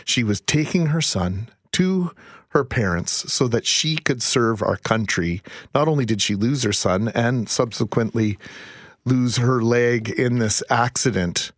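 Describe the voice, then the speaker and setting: A man with a Canadian accent giving emphatic, haltingly phrased opinion commentary as a radio co-host. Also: mid-range voice, no room sound, studio quality. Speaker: radio talk show co-host.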